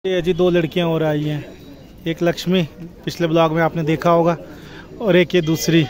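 A man's voice: a little high, level tone, normal speed, darkish tone. A young man in his twenties chatting casually as he walks and films himself on a phone held at arm's length in a busy outdoor market. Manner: chatty, walking while filming himself. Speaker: travel vlogger. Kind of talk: casual vlog chat. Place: busy outdoor town market and monastery grounds. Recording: outdoor phone audio with crowd noise. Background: crowd chatter and street noise.

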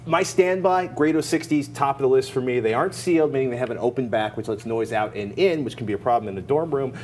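A man's voice: mid-range voice, fast talker, darkish tone. An American man in his forties co-hosting a tech show in a studio, giving recommendations in an emphatic, slangy, conversational way. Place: TV-style studio at a desk. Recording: faint background noise.

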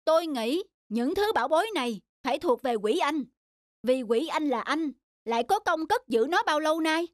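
A woman's voice: high-pitched, lively intonation, moderate pace, dry recording, clean studio sound. A woman voicing a brave, clever young farm boy with an earnest, defiant manner in a cartoon. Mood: sly, persuasive. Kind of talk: cartoon voice acting. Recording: background music under the dialogue.

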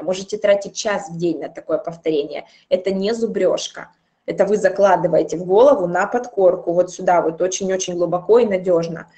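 A woman in her thirties gives a recorded grammar lesson from a small room, picked up by a webcam at her desk. She explains step by step and slows down to repeat example phrases with care. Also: on the low side, mellow. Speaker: English teacher.

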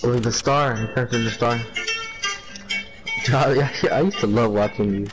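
A young man with a deep voice, chatting casually and laughing with a friend while gaming, relaxed and slangy. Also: moderate pace, rough recording. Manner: laid-back, slangy, laughs often. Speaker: gamer and channel owner. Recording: game music playing underneath.

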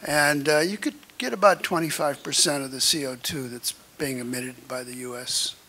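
An older man in the audience asking a question at a lecture, speaking haltingly with many pauses and fillers, at a stand mic in a conference room. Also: medium pitch, sharp tone, a little hiss or hum. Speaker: audience member. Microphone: floor-standing mic.

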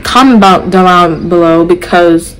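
A woman's voice: on the low side, measured pace, slight background noise, rough recording. A woman from Ohio giving rambling, casual commentary while playing a mobile game, often exasperated with it, recorded on a webcam in a small room. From Ohio, USA. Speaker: gaming channel host. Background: loud game music.

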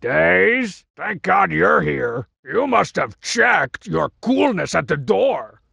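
An actor playing a cocky, scheming cartoon masked wrestler in a video game, boastful and mocking, with a gravelly, raspy voice. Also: male voice, sits high, big pitch swings, slow pace, pushed and bright, no echo, full character voice. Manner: boastful, mocking, swaggering wisecracks. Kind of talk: video game character barks.

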